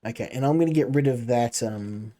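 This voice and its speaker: A man's voice: medium pitch, a little fast, dark tone. A man streaming a game, chatting casually and rambling while talking to his chat. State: coming down with a cold.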